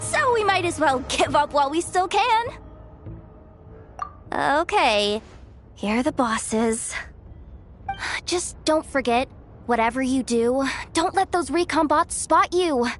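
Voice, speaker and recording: A woman's voice: high voice, lively intonation, slow pace, pauses often, soft background music. A woman voicing a sly, lazy, easygoing young female treasure hunter in a video game, with a casual, glib way of talking. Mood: lazy, reluctant. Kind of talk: video game character dialogue.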